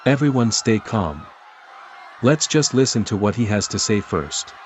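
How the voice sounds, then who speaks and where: Measured newspaper editor, male actor, lowish and calm, comic dub with faint background noise. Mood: placating.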